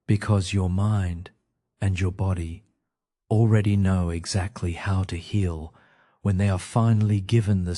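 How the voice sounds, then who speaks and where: A man narrating a guided sleep meditation in a gentle, soothing manner, leaving pauses between short phrases. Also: low-pitched.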